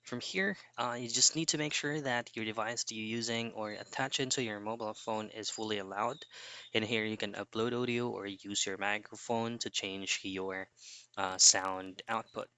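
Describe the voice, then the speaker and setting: A man narrates a how-to tutorial in a low-pitched, clear and resonant voice. The tone is bright and forward, and he explains each step as an instructional voice-over. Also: steady tone, normal speed. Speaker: tutorial presenter.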